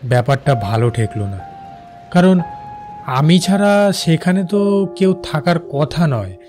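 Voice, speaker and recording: A man playing an uneasy night-shift railway worker, narrating his own horror story with suspense in an animated cartoon. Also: a little high, measured pace, faint music behind. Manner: suspenseful, with fearful repeated phrases. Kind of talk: first-person dramatic horror story narration.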